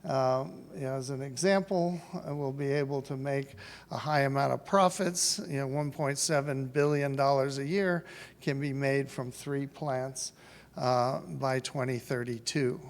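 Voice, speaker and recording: A man's voice: mid-range voice, steady tone, a little slow. Older man presenting in a reverberant hall. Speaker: company founder presenting at a conference. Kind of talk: conference business presentation. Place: large conference hall at a podium. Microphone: podium microphone. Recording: reverberant conference hall sound.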